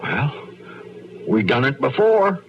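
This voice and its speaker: An old rural American farmer husband, sheepish and soft-hearted. He talks slowly with wide swings in pitch, in radio western style. The old recording is muffled and hissy. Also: big pitch swings. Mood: sheepish, tender.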